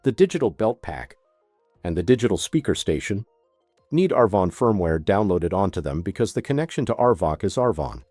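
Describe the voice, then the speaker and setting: A man's voice: low voice, sing-song, normal speed, darkish tone, dry recording, studio quality, faint music behind. A man narrating a scripted product tutorial as a demonstrator, giving methodical step-by-step instructions.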